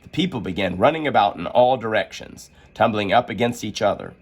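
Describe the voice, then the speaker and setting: A male narrator reads a children's story with theatrical flair. His husky voice moves through a very wide, lively range of pitch as he tells it. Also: a little fast. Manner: animated, theatrical delivery. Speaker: storybook read-aloud narrator.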